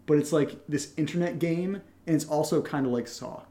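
A young man with a slightly high-pitched voice co-hosts a casual podcast. He chats off the cuff while answering questions, joking and keeping things informal. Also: fairly quick, dark tone. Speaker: podcast co-host and creator. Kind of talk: casual unscripted Q&A chat.

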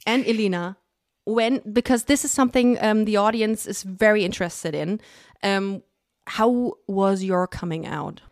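A German woman with a German accent hosting a podcast in English, her second language, speaking in a halting, giggly way. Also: medium pitch, dry recording, studio quality. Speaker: podcast host. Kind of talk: casual interview.

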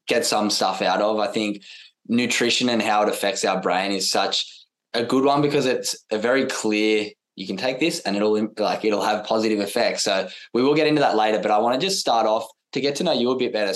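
A man hosting a podcast interview in an informal, enthusiastic way. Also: fairly low pitch. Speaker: podcast host. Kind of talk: casual interview chat.

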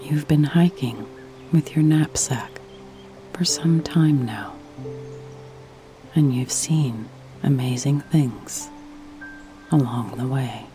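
A woman narrating a guided sleep meditation in a soft, breathy, soothing voice that nears a whisper, with long pauses. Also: extremely low pitch. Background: faint music.

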